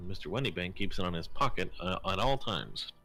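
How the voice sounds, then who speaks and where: A man voicing an eccentric, self-assured gentleman detective in a video game fan dub, speaking in pompous, formal, old-fashioned phrases. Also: sits low, expressive, fairly quick, creaky, soft background music, heavily acted. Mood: lofty.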